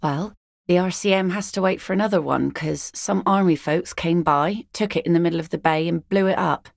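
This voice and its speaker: A woman's voice: on the low side, fairly quick, gravelly, no room sound. An actress voicing a blunt, weathered fisherwoman who picks nets, speaking game voice lines in a dry, sardonic way. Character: tough weathered fisherwoman net picker, blunt. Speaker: game character.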